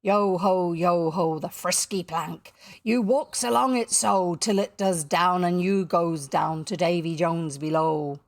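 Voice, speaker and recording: A woman's voice: lowish voice, animated, slow, creaky, hardly any pauses, no echo, exaggerated voice. Lady doing a villain pirate captain for a storybook, menacing, theatrical, fake polite. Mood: gleeful menace. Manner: purring, steely threats and thundering outbursts.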